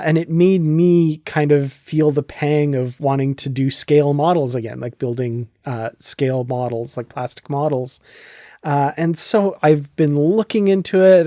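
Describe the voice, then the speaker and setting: A man's voice: mid-range voice, dark tone, no room sound, clean studio sound. A man hosting a podcast in a casual, chatty, enthusiastic way. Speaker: podcast host.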